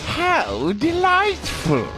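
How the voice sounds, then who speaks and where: Wildly swooping pompous cartoon villain. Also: male voice, very high-pitched, huge pitch swings, very slow, heavily acted. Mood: mock-polite menace. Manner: pompous, mock-polite, erupting into shouts. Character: pompous villainous male frog crime boss, theatrical and vengeful. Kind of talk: cartoon video game acting. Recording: music behind the voice.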